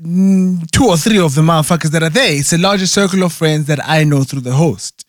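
A man's voice: fairly high pitch, fairly quick, no echo, studio quality. Rambling man in casual chat. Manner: rambling, many restarts and "right?" checks. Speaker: conversation partner.

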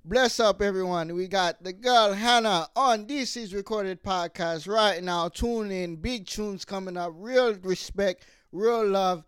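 A man putting on a Jamaican accent to play a boisterous radio hype-man giving punchy shout-outs. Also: sits high, unhurried, few pauses, clear, resonant tone, dry recording, clean studio sound, voice pushed up. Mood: hyped. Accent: put-on Jamaican.

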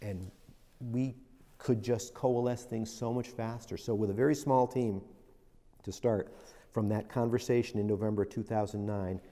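A man's voice: on the low side, steady tone, normal speed, warm tone, long reverb tail. A middle-aged man telling stories as a guest in an interview, reflective and rambling. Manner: reflective, rambling, with many restarts. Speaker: interview guest, business veteran. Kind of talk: storytelling in a casual interview.

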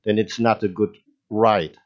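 A man's voice: lowish voice, steady tone, unhurried, highs cut off. A man hosting a casual podcast interview, easygoing, laughing along and teasing his guest. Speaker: podcast host.

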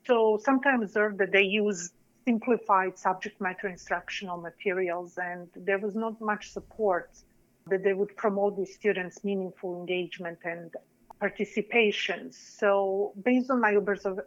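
Serbian-accented woman in her 40s, measured and earnest, kinda dull lo-fi sound. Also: medium pitch. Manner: measured, earnest, careful academic phrasing. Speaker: guest, PhD candidate. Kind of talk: podcast interview answer.

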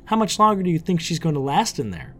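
A man's voice: medium pitch, wildly swooping pitch, a little fast, darkish tone, faint music behind. A man voicing a wicked woman spellcaster in an audiobook, with a taunting, menacing, emphatic delivery.

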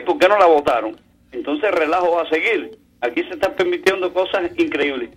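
A man's voice: high-pitched, low-quality recording. A man with a Cuban accent calling in to a talk radio show, giving opinionated political commentary in a colloquial, sardonic, idiom-filled way.

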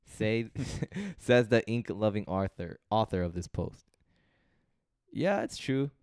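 A man's voice: lowish voice, very animated, slow, darkish tone, no room sound, clean studio sound. A young man co-hosting a podcast, talking in a laid-back, slangy way full of "you know.". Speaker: podcast co-host. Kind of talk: casual chat.

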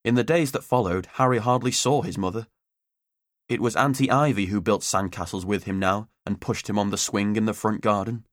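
A man narrates an audiobook in a low voice. The delivery is scripted storytelling, and the recording is dry and close, with no room sound. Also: fairly quick, dry recording.

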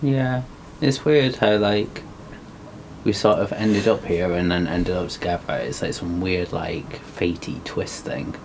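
Young guy, lowish voice, slow and rambly with lots of um and like, bit of hiss. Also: vocal fry. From Wigan, England. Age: young adult. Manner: slow, thoughtful, filler-heavy. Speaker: podcast co-host. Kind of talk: casual chat.

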